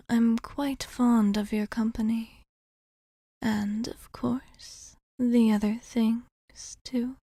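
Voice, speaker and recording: An ageless female vampire bartender, played by a woman for an ASMR roleplay, speaks very slowly in a soft, whispery voice. Her tone is dark and mellow, and she addresses the listener with formal, teasing fondness. The sound is dry and intimate. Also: fairly high pitch, no room sound. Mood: fond, playful. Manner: formal, unhurried wording with lingering pauses. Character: centuries-old female vampire bartender, courteous, fond, teasing.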